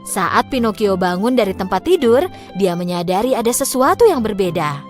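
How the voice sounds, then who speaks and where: A woman narrating a scripted fairy tale for children in an expressive, clearly phrased way. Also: mid-range voice, moderate pace, faint music behind. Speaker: storyteller.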